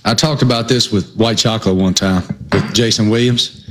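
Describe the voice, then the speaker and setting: A man's voice: lowish voice, steady tone, moderate pace, a bit bright. Folksy man with a Southwest Virginia accent. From Southwest Virginia, United States. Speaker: sports radio show co-host. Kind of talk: casual chat.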